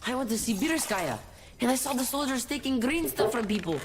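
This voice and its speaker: A woman's voice: fairly high pitch, expressive, fairly quick, noisy background, close to an everyday voice. A woman voicing an earnest, headstrong young boy in a video game, with a frank, childlike way of talking. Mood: indignant. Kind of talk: cinematic game dialogue acting.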